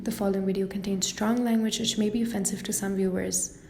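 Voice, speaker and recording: A woman reads a show's disclaimer in a quick, scripted way. The words ring out with a roomy echo. Her pitch is medium for a woman. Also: vocal fry, a little hiss or hum. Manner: flat, scripted reading. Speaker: disclaimer announcer. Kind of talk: read disclaimer.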